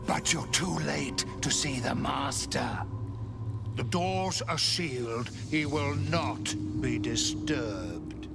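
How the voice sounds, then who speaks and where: Creaky, cruel undead servant. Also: male voice, mid-range voice, lively intonation, slow pace. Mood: sly, obedient. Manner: mocking, sing-song menace, speaks of himself in third person. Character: cruel, gloating old undead servant and torturer. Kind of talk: video game character acting. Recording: music behind the voice. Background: music.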